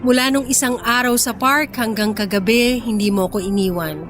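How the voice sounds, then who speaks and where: Lady acting young woman in a radio drama, kinda high voice, natural expressive talk, soft music behind. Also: moderate pace, close to an everyday voice. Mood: apologetic, grateful. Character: apologetic young woman, grateful but fiery when provoked. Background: music bed.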